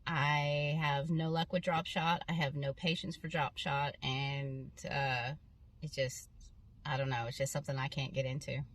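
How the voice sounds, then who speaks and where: A woman's voice: sits low, little pitch movement, a little slow, a little hiss or hum. A woman from Maine giving casual profile answers about herself as an angler. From Maine, United States. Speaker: kayak angler. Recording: distant from the mic.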